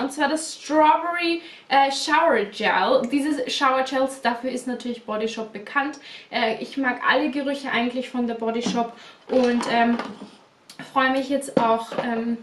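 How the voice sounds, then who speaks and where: High-voiced chatty young woman vlogger. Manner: chatty, repeats words, many fillers. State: slightly stuffy from a cold. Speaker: beauty vlogger. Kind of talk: casual unboxing chat. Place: small bedroom studio indoors. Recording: roomy echo, a bit distant.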